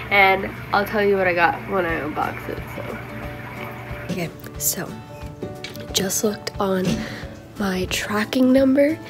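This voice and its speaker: A woman's voice: mid-range voice, low-quality recording. A teenage girl talks into her phone's front camera with a stuffy, congested voice from a cold, and she sounds a little tired. She chats eagerly, with plenty of ums, while music plays behind her. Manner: chatty, excitable, frequent ums. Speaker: aquarium vlogger. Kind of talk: casual vlog chat. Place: bedroom and home at night, indoors. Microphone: phone front camera at arm's length.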